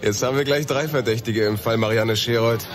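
An actor playing a chatty, wry police investigator in a German radio drama, casual and ironic. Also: male voice, medium pitch, a little fast, over loud music, lightly acted. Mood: wry, musing. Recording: loud crowd babble around the voice.

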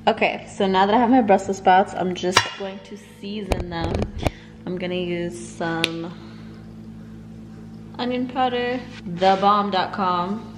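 A woman's voice: medium pitch, unhurried. A young woman filming a casual cooking tutorial in her home kitchen, talking slangy and chatty to her viewers and calling them y'all. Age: young adult. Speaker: cooking vlogger. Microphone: camera on the counter and handheld.

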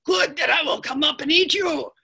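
A fierce woman ranger guarding a bridge in a storybook tale, acted by a woman storyteller. The raised, forceful voice threatens and commands like a troll. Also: sits high, moderate pace, creaky, pitched above the performer's own voice, exaggerated voice. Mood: menacing.

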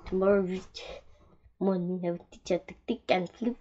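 A woman's voice: fairly low pitch, fairly even intonation, normal speed, dark tone, highs cut off, close to an everyday voice. A woman voicing a wise old click beetle from a storybook, a kindly teacher who encourages and instructs. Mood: calm, instructing.

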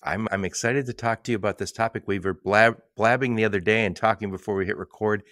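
A man's voice: on the low side, steady tone, dry recording, clean studio sound. A man hosting a podcast, reading an upbeat intro and then interviewing with enthusiasm. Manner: warm enthusiastic delivery, slow emphatic intro. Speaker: podcast host.

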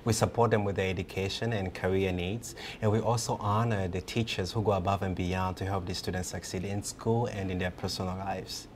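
A young man, speaking earnestly as a guest in a TV news studio interview and pausing often on fillers. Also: sits low, steady tone, slight background noise. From Rwanda. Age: 20s. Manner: earnest, halting with many fillers.

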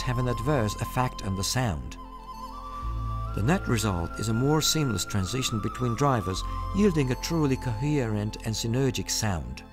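A man reading scripted promotional documentary narration in a polished, measured manner. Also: mid-range voice. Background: music.

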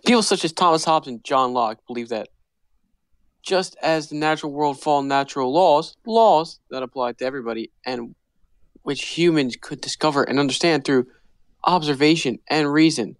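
A man's voice: fairly high pitch, normal speed, no echo. A man co-hosting a podcast, talking casually and explaining things in a loose, joking way. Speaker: podcast co-host.

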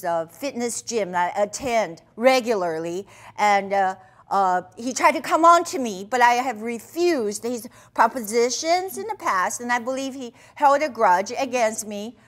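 A middle-aged woman from China with a Chinese accent, pleading her case hesitantly as a plaintiff with many fillers. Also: fairly high pitch. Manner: hesitant, with fillers, flustered and protesting. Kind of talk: courtroom pleading. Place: TV courtroom set.

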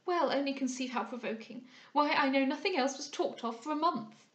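Woman playing a high-pitched, huffy young society chatterbox. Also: fairly quick, fairly bright tone, echoey room, dull audio. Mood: excitable, gossipy. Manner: gushing, indignant chatter. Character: voluble young society lady, huffy and gossipy. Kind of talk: dramatised audiobook reading of a period novel.

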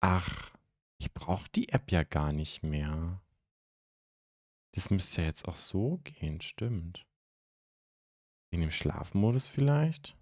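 A young man from Germany hosting a live stream, chatting casually and haltingly as he answers viewer comments into a close microphone. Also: low voice, animated, darkish tone, no room sound. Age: young adult. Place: indoor streaming room at home. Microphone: close microphone on a stand.

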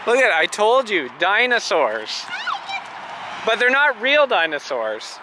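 A man's voice: high voice, big pitch swings, measured pace. A man, a father on a family day trip, teasing his family with coy answers, first in a car and then outdoors. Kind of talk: casual family chat. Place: inside a car, then outdoors at a roadside attraction. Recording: noisy handheld camera audio.

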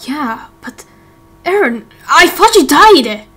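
A woman voicing an excitable, caring young sailor in a game roleplay, speaking earnestly and emphatically. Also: high-pitched, slow pace, heavily acted. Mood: astonished. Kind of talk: Minecraft roleplay voice acting.

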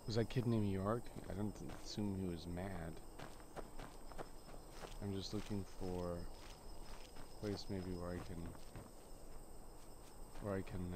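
Male gameplay host, deep and unhurried, halting casual musing aloud. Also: steady tone, background noise. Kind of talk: casual rambling gameplay commentary.

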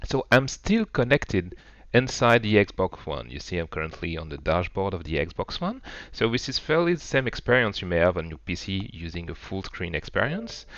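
A middle-aged man with a strong French accent talks through a tech demo, eager and loose, restarting phrases as he goes. His voice sits low. The sound is dry and a little dull, like a simple desk mic. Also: a bit bright, dry recording. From France. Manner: enthusiastic, loose and rambling with restarts. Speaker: web developer demonstrating software. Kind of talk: screen-recorded tech demo narration.

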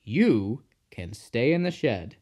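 A storybook narrator voicing a grave, authoritative male railway controller with measured speech. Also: medium pitch, very animated, darkish tone. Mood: grave, dry. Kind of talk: children's storybook character voice.

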